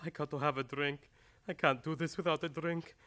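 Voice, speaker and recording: A man's voice: a little high, steady tone, normal speed, pushed and bright. Man playing a jittery, pleading crooked doctor. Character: nervous, cowardly, wine-loving crooked doctor, a henchman. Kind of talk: live old-time radio comedy acting.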